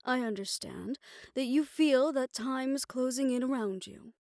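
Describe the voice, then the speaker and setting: An actress voices a wry, level-headed woman in a fantasy audio drama. She speaks in a measured, gently cautioning way, like a trusted friend. The recording is close and dry. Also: high-pitched, dry recording. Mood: gentle warning. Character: calm, wry older friend, a woman of curious mind. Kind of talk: scripted audio roleplay drama acting.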